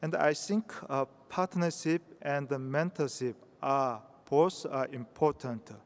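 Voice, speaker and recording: A man from South Korea with a Korean accent giving a conference talk in English, halting often with hesitations. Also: a little high. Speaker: conference speaker. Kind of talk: conference presentation. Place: conference hall. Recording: reverberant hall.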